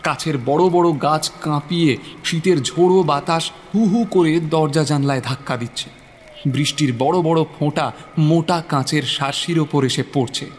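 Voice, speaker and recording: A man's voice: on the high side, slight background noise. A man narrating a horror story in a theatrical, suspenseful style.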